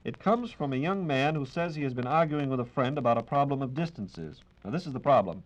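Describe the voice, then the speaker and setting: A man's voice: medium pitch, expressive, fairly quick, low-quality recording. An American man hosting a 1940s radio program in a formal, polished and courteous announcing style.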